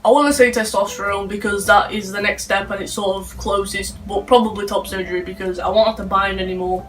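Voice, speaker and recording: A young man, giving calm, earnest answers as an interview guest. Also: high-pitched, a little fast, far from the mic, slight background noise, poor audio. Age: late teens.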